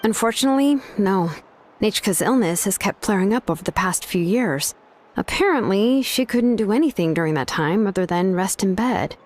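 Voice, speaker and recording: An actress voicing an easygoing, wry young craftswoman in a game dub, talking in a relaxed, dryly teasing way over soft game music. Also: medium pitch. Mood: calm. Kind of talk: dubbed game dialogue.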